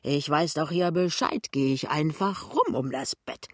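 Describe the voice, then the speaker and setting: A woman's voice: low voice, lively intonation, a little slow, no echo, studio quality. Woman playing a jovial, rambling tipsy man. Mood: tipsy breezy. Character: tipsy, breezy, good-natured middle-aged male lodger.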